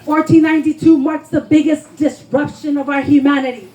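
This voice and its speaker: A woman's voice: sits high, steady tone, a little fast, dark tone, low-quality recording. A woman poet giving an impassioned, emphatic spoken word performance at a live reading, declaiming her lines. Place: live poetry reading before a responsive audience.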